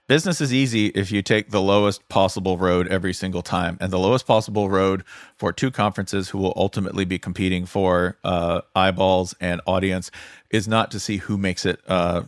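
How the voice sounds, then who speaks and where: Low-voiced man in emphatic podcast chat. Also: no echo, clean studio sound. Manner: profane, emphatic, acts out imagined dialogue. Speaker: podcast guest, sportswriter. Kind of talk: casual sports podcast chat.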